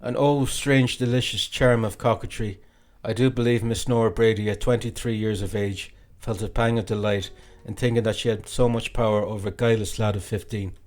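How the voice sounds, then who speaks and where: A man reading a classic novel as an audiobook narrator, in an expressive, dramatic storytelling way. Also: fairly low pitch, a little fast.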